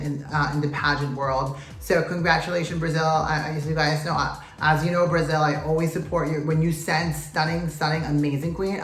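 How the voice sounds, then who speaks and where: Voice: mid-range voice, a bit bright. A pageant vlogger giving a casual recap to camera, sassy and gossipy, often calling out to viewers as "you guys" and "queens". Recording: roomy echo, faint music.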